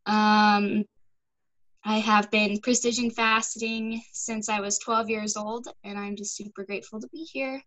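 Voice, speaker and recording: A woman's voice: mid-range voice, level tone, unhurried, fairly bright tone, rough recording. A teenage girl from the United States introducing herself as a guest on a video call, hesitant and a little shy. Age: teens. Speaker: panel guest. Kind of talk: casual self-introduction.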